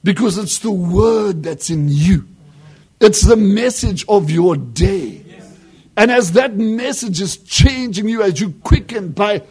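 A man preaching a sermon with fervent emphasis that drops into hushed asides. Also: high-pitched, expressive, slow, breathy edge.

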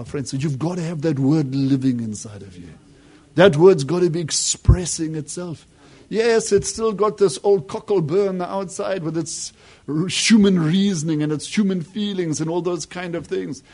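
A man preaching a sermon with fervent emphasis that drops into hushed asides. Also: high-pitched, husky.

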